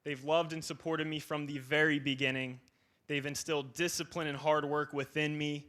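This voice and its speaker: A man's voice: on the high side, flat delivery, normal speed, fairly bright tone. A young man from northeastern Ohio, a student athlete giving an earnest, formal speech of thanks at a banquet. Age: young adult.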